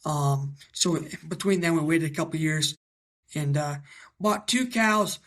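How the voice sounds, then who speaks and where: Young man, twenties, raspy voice, from Iowa, halting casual interview talk. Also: on the high side, a bit bright. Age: 20s. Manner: halting, many fillers and restarts. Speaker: guest, young cattle breeder.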